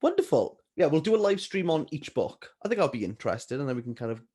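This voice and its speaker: A man's voice: a little high, big pitch swings, quick pace, mellow, slightly rough. A man co-hosting a casual, unscripted book vlog with a playful, dryly teasing manner. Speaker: book vlogger and readalong co-host.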